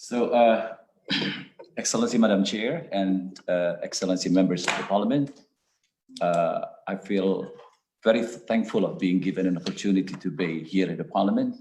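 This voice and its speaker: Man, lowish voice, lots of fillers, light humor, roomy echo. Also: normal speed, darkish tone, a little hiss or hum. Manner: hesitant with many fillers, jokes lightly. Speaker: ambassador. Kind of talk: informal remarks at a hearing. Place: parliamentary committee room.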